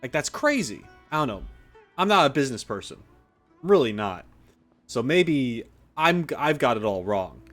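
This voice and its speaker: A man's voice: medium pitch, big pitch swings, moderate pace, a bit bright, soft background music. A man streaming a game, giving casual, opinionated, conversational commentary. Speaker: gaming streamer.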